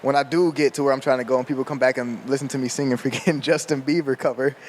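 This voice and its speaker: A man's voice: mid-range voice, fairly even intonation, quick pace, a little hiss or hum. A man in his twenties chatting casually on a podcast, loose and slangy, laughing as he tells stories. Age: 20s. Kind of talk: casual chat.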